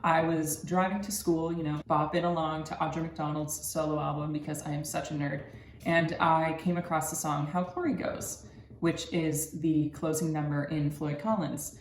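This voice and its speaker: A man's voice: on the high side, steady tone, moderate pace. A man in his early twenties giving a scripted research presentation as a student presenter. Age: early 20s. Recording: roomy echo with background noise.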